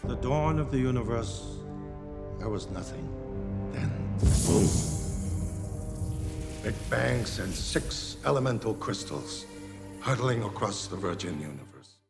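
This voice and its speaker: A man acting as a solemn film character who tells of cosmic origins in a gravelly voice. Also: lowish voice, animated, slow pace, long pauses, heavily acted. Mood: solemn, hushed. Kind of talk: film dialogue, slow storytelling. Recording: echoey, loud film music over it. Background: loud music.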